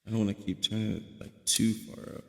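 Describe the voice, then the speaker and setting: A man of about thirty talks in a laid-back way on a podcast, swearing easily. His voice is low and gravelly, and he sits close to the mic. The room around him is echoey, with a long tail of reverb. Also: flat delivery, brisk, a little mellow. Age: 30s. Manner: laid-back, short reactions, swears casually. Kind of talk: casual podcast chat. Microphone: leans close into podcast mic.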